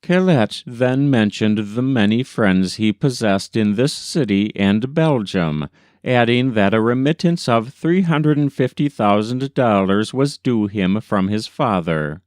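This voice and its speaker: A man's voice: lowish voice, expressive, a little slow, a little mellow, no room sound, clean studio sound. A man reading an old newspaper story aloud as scripted narration. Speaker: volunteer reader.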